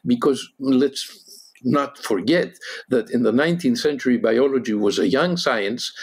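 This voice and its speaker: A husky-voiced man talks as a guest on a discussion podcast, pausing often on fillers as he works through his thoughts. He jumps up high and loud whenever he quotes someone. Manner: halting, many fillers, rises sharply for quotes. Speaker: guest historian. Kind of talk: animated discussion, podcast panel.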